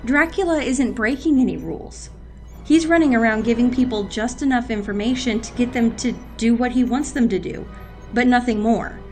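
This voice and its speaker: A woman's voice: on the high side, moderate pace, darkish tone, faint music behind, close to an everyday voice. A woman playing a commanding, dry-witted agency boss in an audio drama, decisive and authoritative. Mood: thoughtful, assertive.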